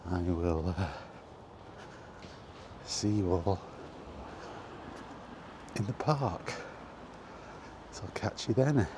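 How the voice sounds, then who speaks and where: A man's voice: low voice, expressive, drawn-out words, pauses often, background noise. A man chatting casually in a rambling, hesitant vlog style, thinking aloud as he goes. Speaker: gaming content creator and podcaster.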